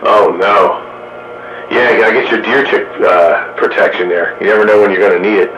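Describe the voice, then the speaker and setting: A man's voice: lowish voice, fairly even intonation, fairly quick, a bit bright, muffled recording. A man chatting casually on an amateur radio hangout, with a roomy echo and static around the voice. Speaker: radio net regular. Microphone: two-way radio setup.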